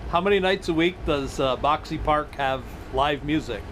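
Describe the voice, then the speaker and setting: Northern New York man, slightly raised pitch, chatty passenger, clear vehicle noise. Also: normal speed, a bit bright. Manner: friendly, inquisitive. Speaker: vlogger, passenger. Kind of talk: casual chat. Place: inside a moving shuttle bus.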